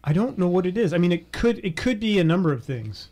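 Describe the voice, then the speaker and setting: Higher-voiced man, casual podcast co-host. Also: very animated, fast talker, a little mellow. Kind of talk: casual panel chat about beer.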